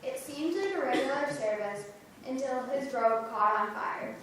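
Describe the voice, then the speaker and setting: Lady telling church stories, pretty high voice, room's super echoey, mic far off. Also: lively intonation, unhurried, a bit bright, a little hiss or hum. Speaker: church reader. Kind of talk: reader's theater narration. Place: large church sanctuary.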